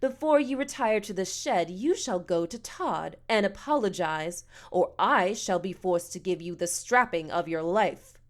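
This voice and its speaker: A woman's voice: fairly low pitch, expressive, unhurried, hardly any pauses. Woman voicing a pompous, scolding foster mother. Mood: threatening. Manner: pompous, stilted formal diction. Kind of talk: audiobook character dialogue.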